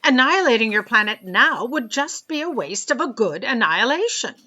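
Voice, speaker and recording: Woman playing an imperious, animated sci-fi boss lady. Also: a little high, normal speed, exaggerated voice. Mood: blithe, pompous. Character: brisk, imperious female boss, blithe about doom. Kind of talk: comic sci-fi audio drama acting.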